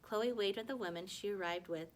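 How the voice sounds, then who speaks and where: A woman reading a children's novel aloud like a teacher to a class, with animated dialogue and the odd stumble. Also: medium pitch, brisk. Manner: animated dialogue, stumbles over words and corrects herself.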